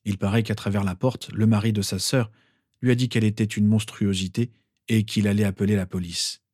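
A man's voice: on the low side, flat delivery, brisk, a little mellow, dry recording, lightly acted. A casual storybook teenage son, read by a man, chatty and unbothered in the way he talks. The sound is dry and close. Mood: reassuring. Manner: offhand, chatty.

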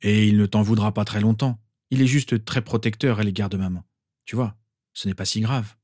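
A man's voice: lowish voice, fairly even intonation, moderate pace, darkish tone, dry recording, close to an everyday voice. A casual storybook teenage son, read by a man, chatty and unbothered in the way he talks. The sound is dry and close. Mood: reassuring. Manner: offhand, chatty.

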